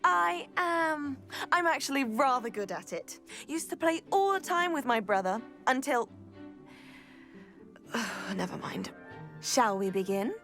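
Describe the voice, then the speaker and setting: A woman's voice: sits high, very animated, unhurried, fairly bright tone, full character voice. An actress playing a confident, competitive teenage witch schoolgirl in a video game, with a self-assured, teasing manner. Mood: confident, wistful. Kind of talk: video game cutscene dialogue. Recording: clear music behind the voice.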